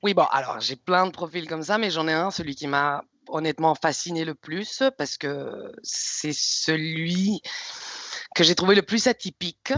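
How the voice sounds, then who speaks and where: A woman from Luxembourg, around her thirties, speaking in an expressive, candid and emphatic way as a manager on a career panel. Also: sits low, normal speed, no echo. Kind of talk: informal panel presentation and storytelling. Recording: slightly dull, lo-fi audio.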